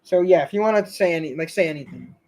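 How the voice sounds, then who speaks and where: A man with a somewhat high voice hosts a casual live stream. He rambles and hesitates, restarting his sentences and filling the gaps with fillers. His voice is getting a little hoarse. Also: expressive, quick pace, a little mellow. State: slightly hoarse, losing his voice.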